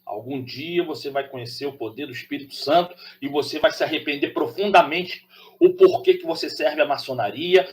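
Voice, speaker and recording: A man from Rio de Janeiro with a Carioca accent, delivering an opinionated monologue as a channel host, preachy and full of rhetorical questions. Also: fairly high pitch. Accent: Carioca Brazilian Portuguese. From Rio de Janeiro, Brazil.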